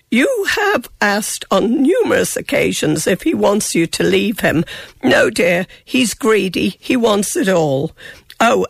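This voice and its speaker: A woman's voice: medium pitch, creaky, slightly rough, no echo. An Irish woman with a chesty cold, giving blunt, sarcastic relationship advice on a radio show. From Ireland. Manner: sarcastic, tart and frank. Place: radio studio.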